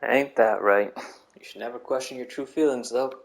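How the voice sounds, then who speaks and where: A woman's voice: rumbling low, moderate pace, creaky. A woman giving casual gameplay commentary, with wry, sweary asides as she goes. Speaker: game streamer.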